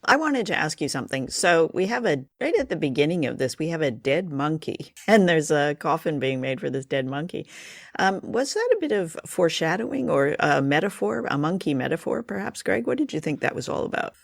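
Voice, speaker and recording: Higher-voiced, gravelly man hosting a discussion. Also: big pitch swings, fairly quick, no room sound, clean studio sound. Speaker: podcast host leading discussion. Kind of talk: conversational film and radio discussion.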